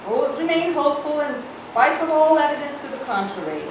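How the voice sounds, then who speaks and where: A woman's voice: high voice, expressive, fairly quick. A woman playing a haughty, ambitious, wealthy older sister in a live screenplay reading. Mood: disdainful. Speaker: actor. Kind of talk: cold-read screenplay scene reading. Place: large room at a live staged reading. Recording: echoey hall, distant mic, noisy.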